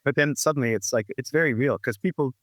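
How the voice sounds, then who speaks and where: A man in his sixties talks reflectively as an interview guest, circling back with frequent restarts and fillers. His voice sits at an ordinary middle pitch. The sound is dry and close, with no room around it. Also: fast talker, dry recording. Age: 60s. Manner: rambling, full of restarts and "you know, like". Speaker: guest musician.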